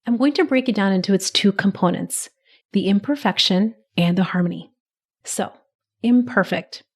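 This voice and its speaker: Slightly raspy woman podcast host. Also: medium pitch, normal speed. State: raspy, recovering from a cold. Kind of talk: solo podcast monologue.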